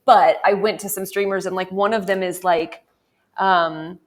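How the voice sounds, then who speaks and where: A woman hosts a relaxed panel conversation about film curation. She talks in long, looping sentences full of casual fillers, in a voice a little lower than usual. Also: normal speed. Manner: rambling, many "like" and "you know" fillers. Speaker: podcast host and film curator.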